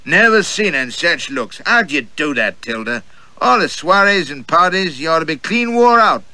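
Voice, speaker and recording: A man's voice: a little high, moderate pace, no echo, voice pushed up, full character voice. Man doing a gushing, gallant old rake. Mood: flattering. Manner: flattering, gushing. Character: gallant flirtatious male rake.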